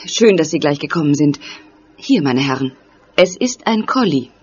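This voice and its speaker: Audio drama troubled, courteous mother; husky, expressive, on slightly muffled tape. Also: deep voice, normal speed. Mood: worried. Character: worried mother receiving investigators. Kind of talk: German audio drama acting. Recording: dull band-limited audio.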